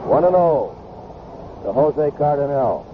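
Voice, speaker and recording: A man's voice: on the high side, expressive, slow pace. An American man calling live baseball play-by-play on the radio in short, clipped phrases that follow the action. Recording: noisy, tinny 1970s AM radio broadcast.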